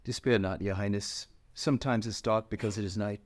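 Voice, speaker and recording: Young musician, played by an actor: very low male voice, wise, intense, solemn, fantasy script reading. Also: steady tone, brisk, natural voice. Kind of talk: screenplay table read.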